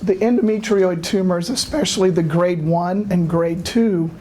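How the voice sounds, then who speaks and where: A man giving a lecture, hoarse from a cold, halting often with filler sounds and clearing his throat. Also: high-pitched, dark tone. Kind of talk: academic lecture.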